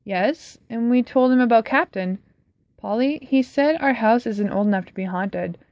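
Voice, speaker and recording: A woman's voice: fairly high pitch, normal speed, no echo, natural voice. Serious little boy full of questions, read by a woman; dry, muffled sound. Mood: curious. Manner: serious, wondering. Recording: slightly dull, dry recording.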